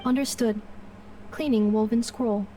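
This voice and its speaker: A woman's voice: a little high, fairly even intonation, unhurried, warm tone, distant mic, slight background noise, natural voice. A woman voicing a polite, literal-minded female robot maid in a video game, making clipped, formal, emotionless announcements. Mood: dutiful, mechanical.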